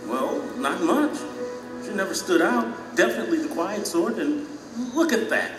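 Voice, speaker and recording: A proud former mayor who is bewildered and anxiously doubts himself. His highish voice swings widely between incredulity and hesitation, echoing in a large hall with music behind, as in an audio drama. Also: big pitch swings, normal speed, recorded from a distance. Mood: astonished. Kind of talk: allegorical audio drama acting.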